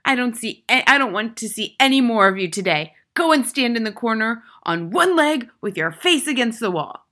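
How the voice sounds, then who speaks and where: A woman's voice: on the low side, normal speed, pushed and bright. A woman reading a storybook, voicing a gigantic tyrannical headmistress who barks contemptuous insults. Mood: furious command. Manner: barking, bellowing insults.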